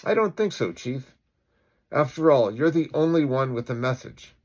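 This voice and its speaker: A man playing a calm, defiant citizen vigilante with deliberate, declamatory speech in an acted-out story. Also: medium pitch, voice pushed up, natural voice. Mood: grim, resolute.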